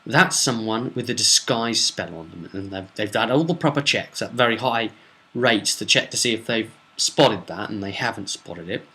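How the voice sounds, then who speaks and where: A man's voice: lowish voice, lively intonation, normal speed, pushed and bright. A British man giving a casual, rambling recap as a game master, often digressing to explain and quote his characters. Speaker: game master recapping a role-play session.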